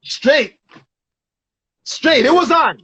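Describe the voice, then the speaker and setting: A man's voice: extremely high pitch, lively intonation, extremely slow, bright, forceful tone. A man chatting casually as a show host, full of slang and repeating phrases for emphasis. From Toronto, Canada. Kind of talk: casual, slangy reminiscing with a guest.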